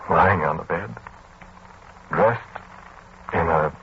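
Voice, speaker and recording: An actor playing a haunted, earnest man who recounts a dark tale in old-time radio style, with dramatic, broken phrasing. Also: deep voice, slow, vocal fry, full character voice. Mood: grave, shaken. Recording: muffled, hissy old radio recording.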